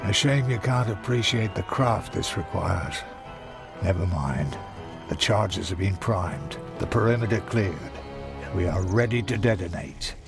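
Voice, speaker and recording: An actor playing a proud, bitter demolition engineer in a video game, speaking in a gruff, deliberate way. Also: male voice, low voice, lively intonation, over music, heavily acted.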